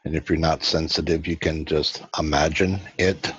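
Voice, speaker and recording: A man leading a guided meditation as host, giving slow, soothing instructions with long pauses and a husky voice. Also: very deep voice, steady tone, a bit bright. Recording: dull, lo-fi, roomy sound.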